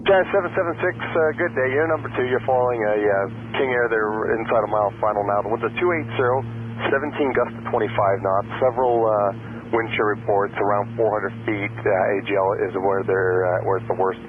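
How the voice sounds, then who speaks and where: A male air traffic controller giving procedural instructions over aircraft radio, pausing as he picks his words. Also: lowish voice. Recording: tinny, very noisy aviation radio. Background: aircraft hum and radio static.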